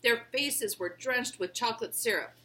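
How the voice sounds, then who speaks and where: A woman's voice: on the high side, normal speed, bright, forceful tone. A woman reading a children's storybook aloud as a narrator.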